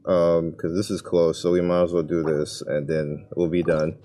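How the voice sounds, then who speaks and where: A man's voice: deep voice, little pitch movement, moderate pace, dark tone, a little hiss or hum. A man giving casual gameplay commentary, thinking aloud in informal, slangy, muttered asides. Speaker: gaming video creator.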